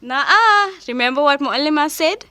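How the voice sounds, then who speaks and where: Woman playing smug teenage rival, piercing bright voice, swooping pitch, taunting, audio drama. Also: animated, moderate pace.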